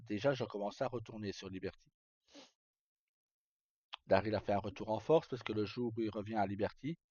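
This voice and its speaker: Man, mellow voice, rambling and hesitant. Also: mid-range voice, no echo, lo-fi sound. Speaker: streamer. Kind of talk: casual live chat, recounting roleplay stories.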